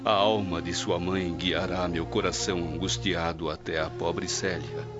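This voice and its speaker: A man's voice: sits low, little pitch movement, a little slow. A Brazilian man playing a solemn, yearning old Roman patrician in a radio drama. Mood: anguished hope. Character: anguished, devout old Roman patrician father. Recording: dull audio with music behind.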